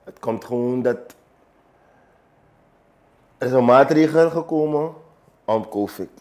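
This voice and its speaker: A man in his thirties with a Surinamese accent, answering in an unscripted interview in a halting way, repeating phrases for emphasis. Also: mid-range voice, darkish tone. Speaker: barber, small business owner interviewee. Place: small barbershop, indoors, seated. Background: background music.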